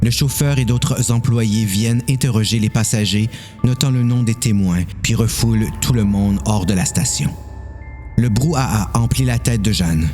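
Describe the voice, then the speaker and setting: A man's voice: on the low side, moderate pace, darkish tone, faint music behind. A man with a Quebec French accent narrating a scripted, dramatised story.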